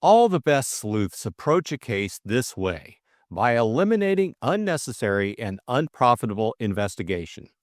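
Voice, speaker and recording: A man voicing a breezy, reasoning young gentleman sleuth in an audiobook. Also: mid-range voice, sing-song, moderate pace, no room sound, clean studio sound, lightly acted. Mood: explaining. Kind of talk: audiobook character dialogue.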